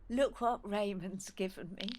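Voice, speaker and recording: A woman's voice: mid-range voice, unhurried, darkish tone, slight background noise, lightly acted. An actress playing a fragile mother of a grown son in an audio play, with a wistful, girlish manner. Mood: subdued.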